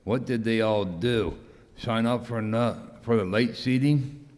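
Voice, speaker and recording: In a reverberant hall, a man plays a puzzled cruise passenger. He has a medium-pitched voice and a complaining manner, in an amateur radio skit. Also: measured pace, a little mellow, natural voice. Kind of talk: amateur live comedy horror radio skit. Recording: echoey hall, long reverb.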